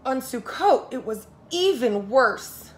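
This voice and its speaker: A woman preschool teacher reading a story aloud to young children, expressive and speaking to them directly. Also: high-pitched, takes it slowly.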